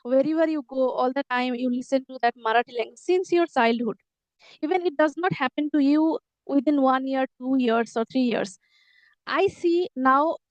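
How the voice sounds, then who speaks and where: A woman's voice: high-pitched, no room sound, lo-fi sound. A middle-aged woman, explaining earnestly as a teacher and repeating herself to make her points. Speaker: English teacher. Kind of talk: conversational English lesson dialogue.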